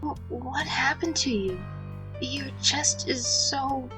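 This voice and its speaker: A woman's voice: sits high, slow. A woman playing a timid, earnest young princess with a hesitant, stammering manner in an audio drama. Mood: flustered. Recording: music behind the voice.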